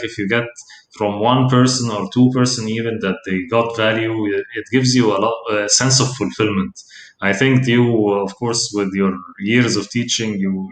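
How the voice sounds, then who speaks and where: A man hosting a live online interview, affirming his guest and chiming in with quick yeses. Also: on the low side, a bit bright. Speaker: host. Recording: echoey room with faint ambience.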